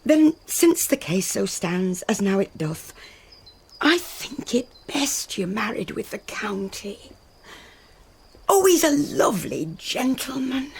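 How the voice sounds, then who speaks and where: An actress playing an earthy, pragmatic older nurse in a Shakespearean radio drama, with a British accent and a husky voice. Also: female voice, medium pitch, exaggerated voice.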